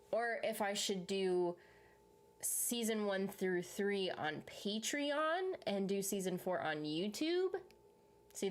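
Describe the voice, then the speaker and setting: A woman with a Southern US accent who hosts a reaction channel, chatting casually and rambling as she answers her viewers' comments. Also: mid-range voice. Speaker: YouTube reaction host. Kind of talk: casual live chat with viewers.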